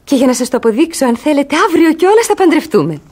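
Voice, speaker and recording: An actress playing a sincere, dignified young ward in a classic radio comedy, earnest and theatrical. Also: female voice, high voice, sing-song, a little mellow.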